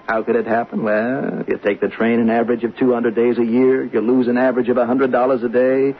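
An actor playing a desperate, defensive gambling addict accused of murder, halting and pleading, in 1970s radio drama style. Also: male voice, mid-range voice, level tone, natural voice. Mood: bitter, defeated. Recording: tinny band-limited noisy 1970s radio recording.

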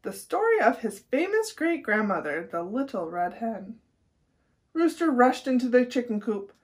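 A woman's voice: fairly high pitch, sing-song, normal speed. A young American woman reading a picture book aloud to children. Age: young adult. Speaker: nutrition educator reading to children.

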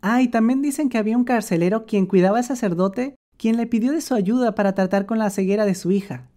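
Playful woman narrating, dark tone. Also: fairly high pitch, fast talker. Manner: sarcastic, playful asides. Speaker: animator narrator. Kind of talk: comic scripted narration.

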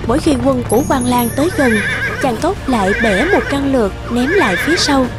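Female narrator, high voice, scripted fairy-tale storytelling, clear music underneath. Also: lively intonation, brisk.

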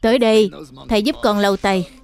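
A man voicing a patient, caring adult bear teacher in a children's cartoon dub, gentle and instructive. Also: high voice, fairly even intonation, fairly quick, natural voice. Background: background music.

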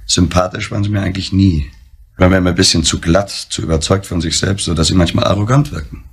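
An actor playing a blunt, probing, sceptical reporter with a gravelly, husky voice in a crime drama. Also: male voice, low voice, fairly even intonation, quick pace, heavily acted. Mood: cool, blunt. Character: blunt, sceptical male reporter sizing up a detective.

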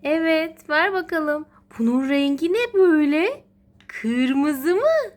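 A woman's voice: high voice, expressive, slow. A woman talking as a mother to her small child, narrating educational play in an encouraging, sing-song way. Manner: encouraging, sing-song child-directed talk with repeated praise. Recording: clearly noisy home recording with clicks.

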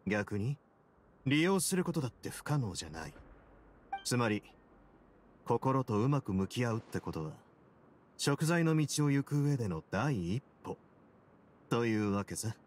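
Casting: a confident fortress ruler for a game, mid-pitched, informal yet measured in his explanations. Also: very animated, a little hiss or hum. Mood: assured, reflective. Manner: casual, assured, measured philosophical explaining. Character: self-assured, shrewd duke who runs a prison fortress. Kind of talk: game dialogue acting.

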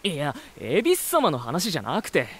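A man's voice: a little high, wildly swooping pitch, normal speed, a bit bright, slightly rough, full character voice. An actor playing a polite, curious male visitor in an anime-style voice drama, both talking and narrating earnestly. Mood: puzzled.

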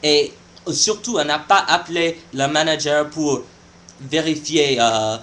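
Young man, French, shy halting vlogger, slightly high pitch. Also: fairly bright tone. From United States. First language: not French. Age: young adult. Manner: halting, searching for words, shy. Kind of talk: casual vlog monologue.

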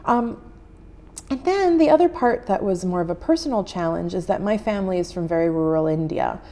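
An American woman in her thirties, a journalist answering interview questions in a TV studio, thoughtful with frequent pauses, on a lapel mic. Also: on the low side, lively intonation, warm tone. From United States. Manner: thoughtful, many "um" pauses, gestures while talking. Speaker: journalist guest. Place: TV studio set.

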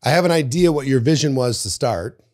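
An older man hosting a podcast interview in a studio, talking casually into a boom mic, often repeating words and trailing off quietly. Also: animated, fairly quick. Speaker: podcast host. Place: studio with bookshelves. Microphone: studio mic on boom arm.

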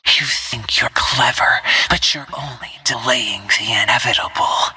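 A woman's voice: a bass voice, pushed and bright, dry recording, full character voice. Whispering, cold-blooded female assassin. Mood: menacing. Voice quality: breathy, hissing whisper. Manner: menacing, controlled, deliberate. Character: cold, deadly, devoted covert female assassin. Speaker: video game character voice actor. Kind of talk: video game combat voice lines.

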